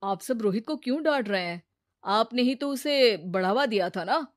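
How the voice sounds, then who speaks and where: A woman voicing a sensible, patient adult man, measured and gently advising, in a cartoon moral story. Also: a little high, animated, no echo, clean studio sound, lightly acted. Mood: calm, advising.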